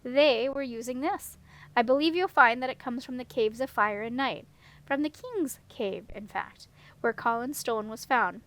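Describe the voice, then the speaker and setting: A woman voicing a calm, confident female character who explains things courteously and matter-of-factly. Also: fairly high pitch, a little slow. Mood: assured. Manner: assured, matter-of-fact.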